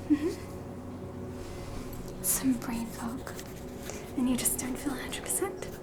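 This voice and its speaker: An Australian woman whispering softly in a scripted ASMR roleplay, playing a polite, reassuring receptionist nurse at a horror hospital. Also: high voice, slow pace, music in the background.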